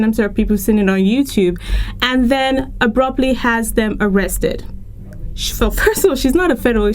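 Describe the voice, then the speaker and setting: A woman from Lagos, speaking earnestly and emphatically as an articulate radio interview guest. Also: on the high side. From Lagos, Nigeria.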